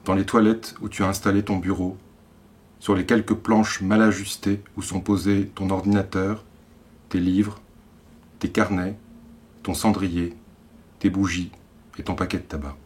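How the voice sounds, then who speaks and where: A man with an accent from France reads literary poetry in a measured way, in short phrases set apart by deliberate pauses. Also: deep voice, little pitch movement, darkish tone. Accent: French from France. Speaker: poet reading own work.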